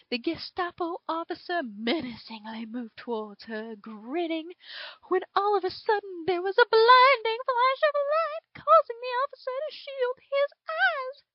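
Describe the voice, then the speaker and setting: A woman's voice: very high voice, no echo, lo-fi sound. A woman voicing a drawling southern dragon. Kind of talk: reading fan fiction aloud.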